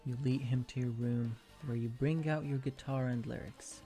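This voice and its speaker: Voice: medium pitch, steady tone, normal speed, dark tone, soft background music. A narrator reading second-person fan fiction as scripted storytelling.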